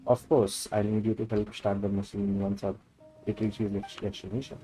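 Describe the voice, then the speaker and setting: A man's voice: on the low side, flat delivery, normal speed, loud music behind the voice, poor audio. A man with an Indian accent live-streaming a game, switching between English and Hindi as he reads story dialogue aloud and comments.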